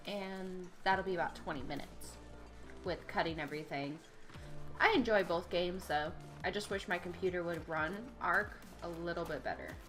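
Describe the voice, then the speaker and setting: A woman's voice: lowish voice, a bit bright. Stuffed-up woman chatting over gameplay. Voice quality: slightly nasal. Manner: chatty, thinking aloud while playing. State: stuffed up from a cold. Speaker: let's play gamer. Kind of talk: casual gameplay commentary.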